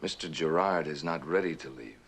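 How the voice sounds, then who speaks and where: An actor playing a coldly calculating, condescending male manager in a measured, controlled suspense drama style. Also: extremely low pitch. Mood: commanding. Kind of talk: old radio and television suspense drama acting.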